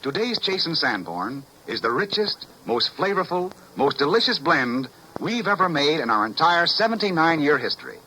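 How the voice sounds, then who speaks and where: An American man reading a 1940s radio advertisement as an emphatic sales pitch. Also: very animated, normal speed, few pauses, pushed and bright, low-quality recording. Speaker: commercial announcer. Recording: old 1940s radio recording, faint noise.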